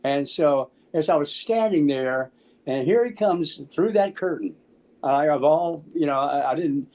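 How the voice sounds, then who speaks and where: An American man in his seventies casually telling anecdotes in an animated, halting way, acting out the dialogue. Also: on the high side. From Memphis, United States. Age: 70s. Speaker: interview guest, musician. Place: small room at home, on a video call.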